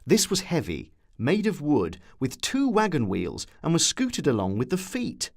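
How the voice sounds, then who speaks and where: A man reads scripted documentary narration. His pitch moves up and down a great deal as he tells the story, with a wry streak in the delivery. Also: wildly swooping pitch, measured pace.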